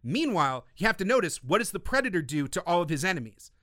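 A man's voice: high voice, sing-song, quick pace, pushed and bright, no room sound, clean studio sound. A man co-hosting a film podcast in casual chat, telling stories animatedly and swearing freely. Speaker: podcast co-host.

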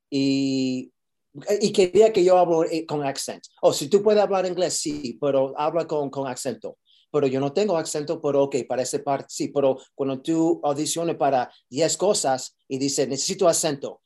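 A man's voice: mid-range voice, dark tone. A man, an actor, answering interview questions casually, mixing Spanish and English with many fillers and restarts.

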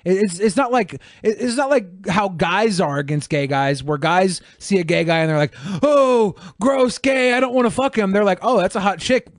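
A man's voice: sits high, normal speed, few pauses, dry recording, clean studio sound. A man co-hosting a podcast with casual, crude comedic banter. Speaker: podcast co-host reacting to a video.

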